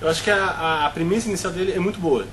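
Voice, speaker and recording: A man's voice: high-pitched, rapid-fire, fairly bright tone, slight background noise. A Brazilian man on a film review panel, talking at length with frequent restarts and tag questions.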